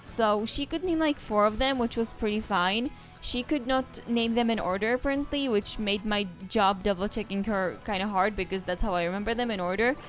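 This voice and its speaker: A woman's voice: medium pitch, fairly quick, few pauses, soft background music. Chatty woman streamer. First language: Czech. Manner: rambling and chatty, trails off into asides. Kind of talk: casual let's-play commentary.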